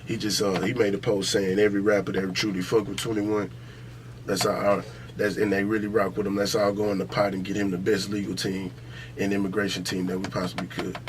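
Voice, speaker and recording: A man's voice: on the low side, little pitch movement, brisk, slight background noise. An American man hosting a podcast, talking casually and emphatically with heavy slang and swearing, repeating his points. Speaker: podcast host. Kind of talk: casual opinionated podcast talk.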